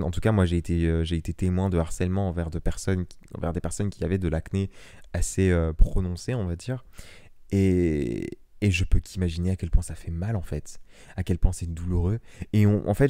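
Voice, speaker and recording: Young guy doing a solo podcast, fired up and earnest, kinda low warm voice. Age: young adult. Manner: earnest and impassioned, many restarts and fillers. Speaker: podcast host.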